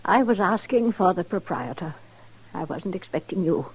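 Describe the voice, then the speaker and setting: An imperious old society matron, speaks in formal, genteel phrases. Her husky voice swoops up and down with condescension. It sounds like vintage radio drama. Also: fairly low pitch, lively intonation, moderate pace, rough recording. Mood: haughty, insistent. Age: older.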